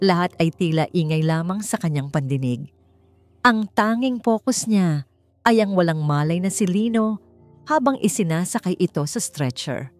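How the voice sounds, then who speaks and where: A woman's voice: fairly low pitch, animated, normal speed, darkish tone, no room sound. A woman reading a scripted love story as a dramatic narrator. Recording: music behind the voice. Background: background music.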